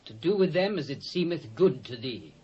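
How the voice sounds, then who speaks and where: A man's voice: on the high side, expressive, moderate pace, darkish tone, breathy edge. A British man playing a regal ancient king in a dramatised Bible reading. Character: male ancient king, regal, granting permission. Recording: dull old cassette recording.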